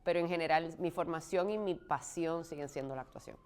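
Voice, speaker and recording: A woman raised in Puerto Rico, an actress answering interview questions thoughtfully, often pausing with fillers. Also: sits low, smooth. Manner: thoughtful, frequent restarts and "eh" fillers.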